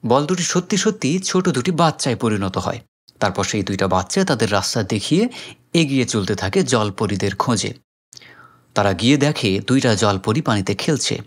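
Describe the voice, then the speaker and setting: A man's voice: fairly low pitch, lively intonation, moderate pace. A man with a Bangladeshi accent narrates a film recap as scripted storytelling.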